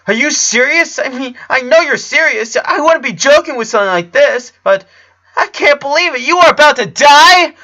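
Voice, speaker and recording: A man voicing an anxious young boy, a loyal best friend, with a high, strained voice in a story reading. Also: animated, moderate pace, bright, forceful tone, full character voice. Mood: shocked.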